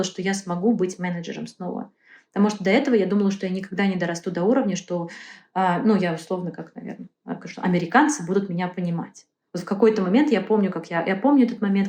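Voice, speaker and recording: A woman speaking as an interview guest, earnest and halting, often correcting herself mid-sentence. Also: medium pitch, warm tone. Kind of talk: casual interview talk. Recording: roomy echo.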